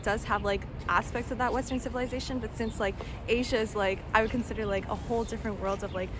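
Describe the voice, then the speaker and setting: A woman's voice: a little high, fairly even intonation, quick pace. A young Canadian woman answering street interview questions casually, rambling with lots of "like" fillers. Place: city street outdoors. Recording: outdoor street noise.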